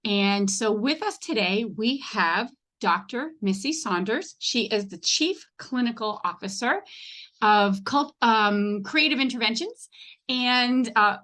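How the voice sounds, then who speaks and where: A woman's voice: fairly high pitch, pushed and bright. A woman hosting a casual podcast interview in an enthusiastic, informal way. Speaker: podcast host.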